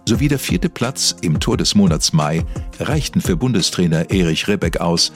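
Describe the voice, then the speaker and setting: A man with a very deep voice narrates an investigative documentary. His scripted delivery moves up and down with plenty of melody, and music plays underneath. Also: expressive, normal speed. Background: background music.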